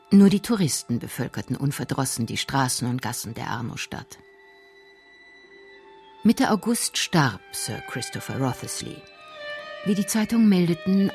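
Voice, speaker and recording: A woman narrating a scripted radio drama in measured, deliberate phrases. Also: deep voice, darkish tone, breathy edge.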